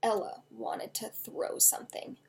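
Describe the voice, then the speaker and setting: A woman author reading her own children's novel aloud in an expressive storytelling style. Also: unhurried.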